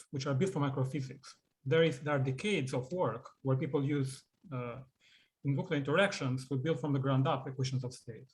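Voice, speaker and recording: Man, middle pitch, audience questioner, academic register, deliberate, polite but probing. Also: poor audio. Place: online virtual seminar.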